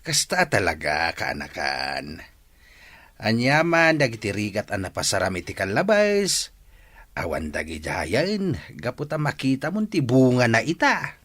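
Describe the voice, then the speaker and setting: A man's voice: lowish voice, huge pitch swings, a little slow, a bit bright, full character voice. An actor playing an encouraging, hearty older uncle in a radio drama, reassuring his nephew.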